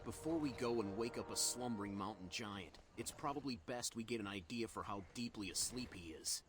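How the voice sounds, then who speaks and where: A man's voice: on the low side, brisk, music in the background, lightly acted. A man voicing a folksy, wry elemental healer who gives out quests in a fantasy game, chatty and teasing. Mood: teasing, practical.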